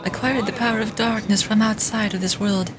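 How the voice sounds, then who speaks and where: A woman voicing an ancient, scornful dragon from a game, solemn and grandiose in a measured delivery. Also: medium pitch, steady tone, crowd noise, voice pushed down, lightly acted. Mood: grave storytelling. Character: ancient, proud, scornful dragon nursing old hatred. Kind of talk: game dialogue read aloud in character.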